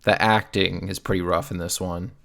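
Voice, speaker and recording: A man's voice: sits low, little pitch movement, moderate pace, creaky, over loud music. A man narrating a scripted video review in a casual, opinionated manner. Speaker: film reviewer.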